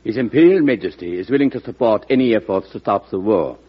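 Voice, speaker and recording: An actor playing a courtly, evasive German ambassador with a German accent in an old radio drama, speaking in a formal, measured way. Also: male voice, fairly low pitch, lively intonation, breathy edge. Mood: guarded, formal. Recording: muffled vintage radio broadcast recording.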